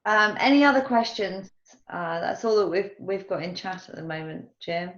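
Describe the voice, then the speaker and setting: A woman's voice: medium pitch, a bit bright, muffled recording. Woman moderating in an echoey room. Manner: brisk, reads out questions. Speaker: webinar host. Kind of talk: moderating webinar questions.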